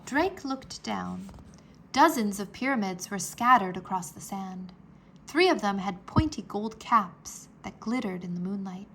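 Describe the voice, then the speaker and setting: A woman narrating a children's storybook aloud in a deliberate, dramatized way. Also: medium pitch, lively intonation, gravelly, fairly bright tone, a little hiss or hum.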